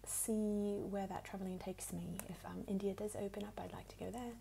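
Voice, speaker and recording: A woman from the United States with a put-on English accent, speaking softly and at times whispering as she unhurriedly answers questions for an ASMR video. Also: mid-range voice, little pitch movement, brisk, creaky, a little hiss or hum. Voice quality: soft, partly whispered.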